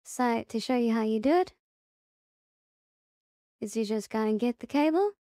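A woman hosting a casual software tutorial, explaining it step by step in a halting way. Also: fairly high pitch, lively intonation, no echo, studio quality. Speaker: tutorial host.

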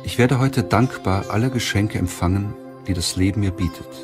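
A man narrating a self-help audiobook in a measured, deliberate way. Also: on the low side, over music.

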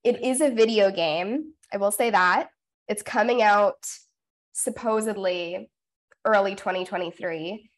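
Bubbly young woman on a video call. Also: medium pitch. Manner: bubbly, upbeat, quick to laugh. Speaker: voice actress guest. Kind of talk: casual video-call interview. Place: home room in front of blue backdrop. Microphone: webcam video call.

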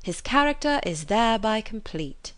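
A woman reading aloud, voicing a lively, playful young woman who teases with quick wit. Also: a little high, pitched above the performer's own voice. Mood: teasing. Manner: teasing and witty.

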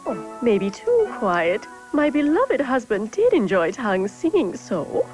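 A woman voicing a fussy, scheming magistrate's wife in a TV cartoon, drawn-out and theatrical. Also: a little high, wildly swooping pitch, a little mellow, faint music behind, full character voice, rough recording. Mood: guilty. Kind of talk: TV cartoon acting.

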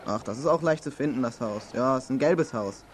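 A man's voice: mid-range voice, brisk, slight background noise, close to an everyday voice. A man playing a casual, helpful young lad with a colloquial manner in a children's audio drama. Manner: colloquial, easygoing.

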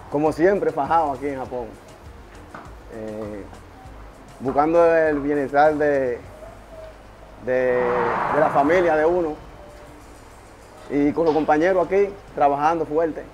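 A man's voice: fairly high pitch, slow, long pauses, darkish tone, poor audio. A man with a Dominican accent, a stable worker, introducing himself to camera in a horse stall. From Dominican Republic. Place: wooden horse stall, music behind. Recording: music laid over stable interview.

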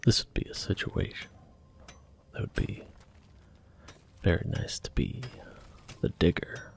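A man softly talking through a video game in whispered ASMR style, slow and gentle. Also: slight background noise. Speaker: ASMR gaming channel host. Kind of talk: soft-spoken ASMR game commentary.

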